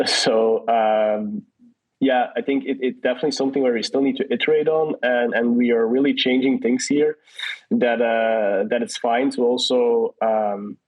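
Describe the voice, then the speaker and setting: A man's voice: mid-range voice, darkish tone. A man answering questions in a casual online audio chat, hesitant, with many restarts and fillers. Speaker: guest from a partner project. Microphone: online audio call. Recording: dull lo-fi audio call.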